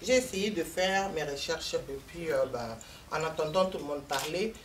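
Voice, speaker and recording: A woman's voice: low-pitched, lively intonation, moderate pace. A woman from Congo, an invited speaker, giving a methodical, explanatory talk at a community meeting. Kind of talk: measured public address. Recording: distant mic, faint room noise.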